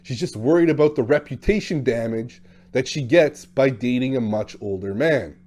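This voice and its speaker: A man's voice: mid-range voice, normal speed, darkish tone. A man in his thirties or forties talking bluntly and casually about dating, with lots of fillers. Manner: talkative, blunt, acts out quotes in shifting voices. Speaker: dating coach. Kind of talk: casual monologue to camera.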